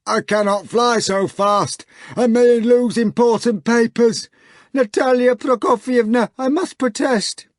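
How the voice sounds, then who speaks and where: A man voicing a pompous, flustered middle-aged man turned into a flying hog, with hoarse, grunting, pleading protests. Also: very high voice, measured pace, no room sound, clean studio sound, voice pushed up. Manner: stiffly formal protests.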